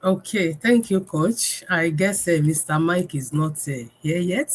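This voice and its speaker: A woman's voice: fairly low pitch, a little slow. A woman from Nigeria, hosting a live business talk stream over a video call and speaking with frequent fillers. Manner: warm greetings, many fillers, laughs often. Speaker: host.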